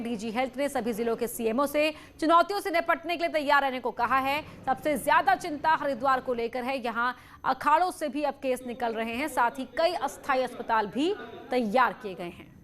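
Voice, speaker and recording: A woman's voice: high-pitched, a bit bright. A woman news presenter reading a scripted bulletin in a formal, emphatic newsreader manner.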